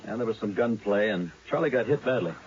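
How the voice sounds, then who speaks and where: An actor playing a matter-of-fact police officer briefing a colleague on a robbery in an old radio drama. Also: male voice, fairly low pitch, animated, darkish tone. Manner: brisk, briefing. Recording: muffled old radio broadcast.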